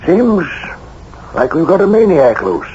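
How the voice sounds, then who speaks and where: An actor playing a shrewd, steady American small-town sheriff in a radio mystery, folksy and deliberate. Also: male voice, a little high, slightly rough, full character voice. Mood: dry, probing. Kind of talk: radio mystery drama acting. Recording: dull, noisy old radio broadcast.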